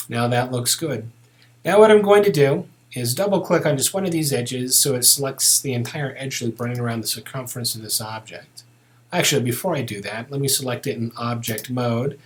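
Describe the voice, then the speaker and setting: A man teaching software in a casual, unscripted way, thinking aloud as he walks through each step. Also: mid-range voice. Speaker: software tutorial instructor. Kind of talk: narrated step-by-step screen tutorial, unscripted.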